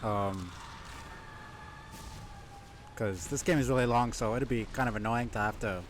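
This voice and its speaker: A man's voice: fairly low pitch, unhurried, a little hiss or hum. A man giving casual live gaming commentary, thinking aloud as he plays and chatting with viewers. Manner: musing aloud while playing, chatty with viewers. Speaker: live game streamer.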